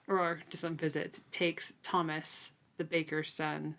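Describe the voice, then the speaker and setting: A woman's voice: sits low, little pitch movement, measured pace, fairly bright tone. A woman co-hosting a podcast, talking through a book casually and chattily, peppering her talk with "like". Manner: chatty, frequent "like" and "super". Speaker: podcast co-host.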